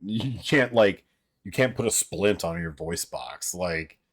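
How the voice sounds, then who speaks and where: A young man casually chatting with livestream viewers in a deep, fairly monotone voice, rambling with filler words and frequent swearing, his voice a little strained. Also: takes it slowly. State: voice strained from an old throat injury. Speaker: livestream host.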